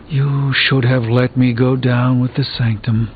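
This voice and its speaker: A man's voice: medium pitch, level tone, slow, slight background noise, natural voice. A man playing a proud middle-aged sorcerer in a video game, speaking formally and solemnly. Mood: despondent. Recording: tinny band-limited game audio with music.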